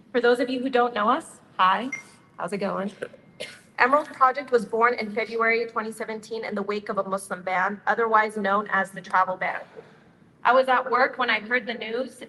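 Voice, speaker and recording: Young woman giving a speech, bright clear voice, long hall reverb, bit of background hum. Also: medium pitch. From Utah, United States. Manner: upbeat, friendly, addresses the crowd directly. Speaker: nonprofit co-founder giving a speech. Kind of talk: prepared speech at a community event. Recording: reverberant hall, faint ambient noise.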